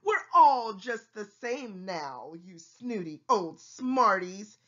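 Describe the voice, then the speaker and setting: A woman's voice: mid-range voice, very animated, slow pace, fairly bright tone, muffled recording, heavily acted. A woman voicing proud, squabbling, bird-like creatures in a rhyming storybook, exclaiming snootily. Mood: defiant, taunting. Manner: exclaiming, taunting. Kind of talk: rhyming storybook read-aloud.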